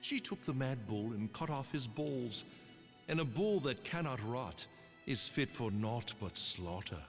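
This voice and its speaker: An actor playing a bitter, treacherous soldier who taunts his old friend coldly and deliberately. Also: medium pitch, expressive, in a large hall, faint music behind. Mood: taunting. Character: bitter treacherous middle-aged male soldier.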